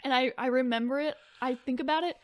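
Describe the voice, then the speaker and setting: A woman's voice: high-pitched, steady tone, fast, no room sound. A young woman co-hosting a podcast in casual, joking banter full of informal filler words. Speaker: podcast co-host. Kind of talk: casual comedic banter about creepy folklore.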